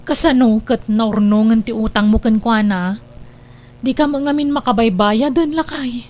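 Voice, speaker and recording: A woman plays a scheming wife with a high, bright-toned voice. She wheedles and pushes, always angling for another loan. The acting is plain and everyday, as in a spoken audio drama. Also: normal speed, lightly acted. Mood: scolding. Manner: wheedling, pushy. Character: scheming wife, a grown woman who keeps borrowing money. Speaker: lead character Amy.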